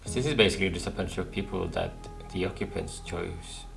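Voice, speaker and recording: A man's voice: low-pitched, fairly quick, vocal fry, faint music behind. A man commentating casually on a game as he plays, thinking aloud and repeating words to himself. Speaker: let's player.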